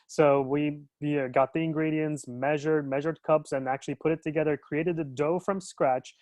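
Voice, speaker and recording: A man's voice: on the high side, steady tone, normal speed, darkish tone, no room sound. A man from Turkey, an educational consultant in his thirties, talking casually in a webinar discussion, with many fillers and restarts.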